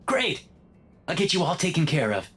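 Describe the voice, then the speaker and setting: A man's voice: fairly high pitch, sing-song, normal speed, fairly bright tone, breathy edge, soft background music, voice pushed up, heavily acted. A man voicing an eager, nerdy male store clerk with chirpy, over-helpful sales patter in a narrated story. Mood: eager, friendly.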